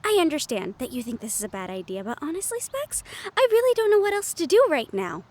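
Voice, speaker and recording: A woman's voice: sits high, big pitch swings, fast talker, a little mellow. An actress playing an anxious, sweary young woman haunted by a ghost, exasperated and conversational in an audio roleplay. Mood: exasperated, pleading.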